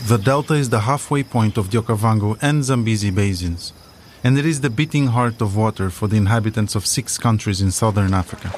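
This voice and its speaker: Low-voiced man narrating a documentary. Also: brisk, noisy background.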